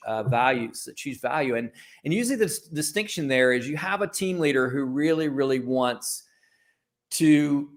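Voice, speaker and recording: A man speaking as an interview guest, giving informal, discursive answers and often rephrasing himself as he goes. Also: mid-range voice. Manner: informal, discursive, self-correcting. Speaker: guest, creative company founder.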